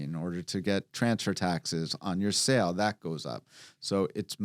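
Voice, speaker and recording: Deep-voiced man explaining local taxes. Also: darkish tone, no echo, clean studio sound. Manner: explanatory, asks follow-up questions. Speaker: podcast co-host. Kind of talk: casual panel discussion.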